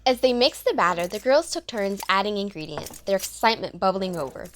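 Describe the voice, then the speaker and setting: A woman's voice: mid-range voice, expressive, brisk, slight background noise. A woman reading scripted narration for a children's audio story.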